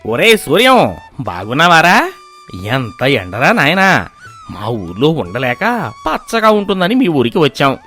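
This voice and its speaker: Casting: brazen elderly sponger acted by a man, jovial, very animated delivery, village comedy tale. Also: a little high, unhurried, soft background music, exaggerated voice. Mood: brazenly hearty. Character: stingy old freeloading relative, hearty and brazen.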